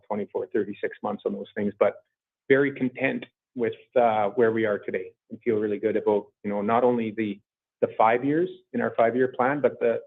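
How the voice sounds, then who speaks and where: Low-voiced male executive on phone line. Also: creaky, breathy edge. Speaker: company executive answering analyst questions. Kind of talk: unscripted corporate earnings call answers. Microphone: conference call line. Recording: thin, phone-like conference call line.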